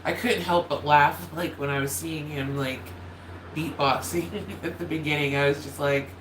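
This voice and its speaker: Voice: medium pitch, fairly even intonation, moderate pace. A YouTuber chatting casually on a holiday livestream, with a nasal, slightly cracking voice, often apologizing and losing the thread. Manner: apologetic, loses track and restarts often. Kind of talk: casual rambling chat to viewers. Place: room at home with a loud space heater. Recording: roomy echo, faint heater hum.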